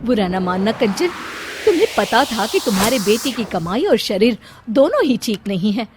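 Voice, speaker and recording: A woman's voice: fairly high pitch, very animated, moderate pace, noisy background. A woman voicing a plain-spoken, reproachful middle-aged woman in a moral story. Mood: frank. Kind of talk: Hindi moral story cartoon acting. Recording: loud music behind the voice.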